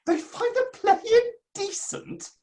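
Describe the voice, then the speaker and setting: A woman's voice: very high voice, animated, unhurried, vocal fry, dark tone, slight background noise, full character voice. A woman playing a hot-tempered, pompous and vindictive Victorian male writer in a dramatic play reading, declaiming in florid, indignant style. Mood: affronted.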